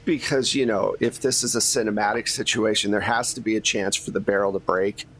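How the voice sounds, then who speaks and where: A man's voice: fairly low pitch, steady tone, brisk, a bit bright, a little hiss or hum, rough recording. A man acting as game master, narrating a tabletop role-playing game in a conversational, teasing way.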